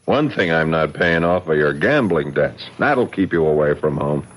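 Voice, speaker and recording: Very deep-voiced, menacing gangster bookie. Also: male voice, expressive, moderate pace. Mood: smug command. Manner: slangy tough-guy talk, mocking. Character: menacing male gangster bookie, coolly sardonic. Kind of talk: 1970s radio drama acting.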